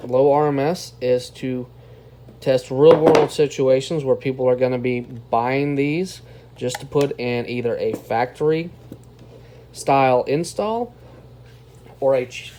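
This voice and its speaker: A man's voice: medium pitch, normal speed, warm tone. A man hosting a casual, unscripted product test, talking informally to his viewers as he reviews gear. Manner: informal, addresses viewers as guys. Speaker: car audio product reviewer and video host. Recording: clearly noisy background.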